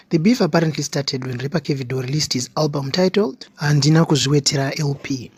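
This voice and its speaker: A man acts out a cartoon entertainment news anchor with a Zimbabwean accent. He is formal and deadpan, in a husky voice of middling pitch. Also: natural voice. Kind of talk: parody news bulletin.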